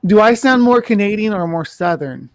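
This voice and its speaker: A man's voice: sits high, expressive, moderate pace, no room sound. A man from Missouri in a casual group chat, teasing and talkative. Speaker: podcast cast member.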